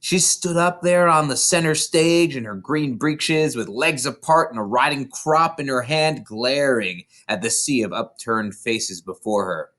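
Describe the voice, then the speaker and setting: A man narrating a children's book aloud in an expressive storytelling style. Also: medium pitch, unhurried, hardly any pauses. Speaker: storybook reader. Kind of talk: animated children's audiobook narration.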